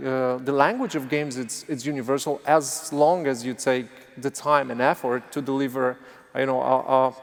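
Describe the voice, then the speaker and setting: Man, polish accent, earnest, halting, reverberant convention hall. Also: medium pitch. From Poland. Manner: earnest, halting, repeats words. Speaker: game studio panelist. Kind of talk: panel answer to audience.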